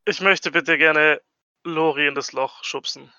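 A man's voice: fairly high pitch, fairly even intonation, measured pace, pushed and bright, clean tone, no echo, highs cut off. A man playing a tabletop role-playing game, casually thinking aloud about what his character does.

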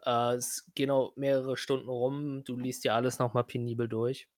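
Man narrating in a flat, even tone. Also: medium pitch, moderate pace, dry recording, clean studio sound. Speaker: game master. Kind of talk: improvised tabletop game narration.

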